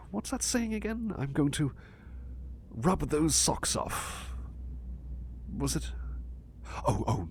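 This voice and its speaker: Man acting as a low-voiced, animated, flustered butler. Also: a little hiss or hum. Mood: earnest, promising. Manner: polite, formal, stammering, excitable. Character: eager, flustered, courteous male butler seeking work. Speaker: ASMR roleplay performer. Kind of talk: ASMR roleplay acting, personal attention.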